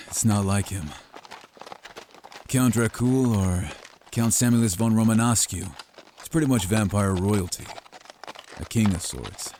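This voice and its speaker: A man's voice: lowish voice, a little mellow, a little hiss or hum. A man playing an expert, easygoing hunter who talks in a casual, matter-of-fact way in a fantasy audio roleplay. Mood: informative, steady. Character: expert male hunter, easygoing, loyal, practical team partner. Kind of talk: scripted fantasy audio roleplay addressed to the listener.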